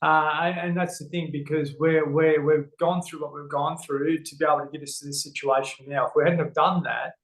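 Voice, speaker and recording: A man's voice: a little high, little pitch movement, brisk, hardly any pauses, a bit bright. Earnest Australian man, echoey room. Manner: earnest, many fillers and restarts. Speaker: guest, men's health educator. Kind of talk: casual interview talk.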